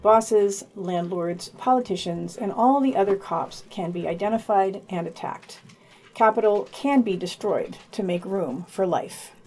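Female podcast newsreader, medium pitch, scripted delivery broken up by informal asides. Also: a little slow, darkish tone, a little hiss or hum. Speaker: podcast host reading news digest. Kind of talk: scripted news reading with casual asides.

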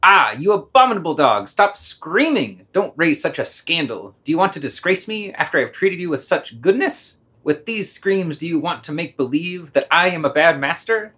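Man playing a pompous, scolding scientist, wildly animated. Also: fairly high pitch, normal speed, few pauses. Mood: indignant, scolding. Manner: pompous, indignant, theatrical. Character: self-righteous, scolding scientist master, hypocritical and pompous. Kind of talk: amateur radio play reading.

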